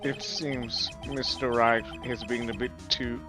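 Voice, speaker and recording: A man voicing a cool, proud prosecutor in a live fan dub, with a measured, haughty delivery. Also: medium pitch, steady tone, over music, close to an everyday voice. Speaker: fan voice actor.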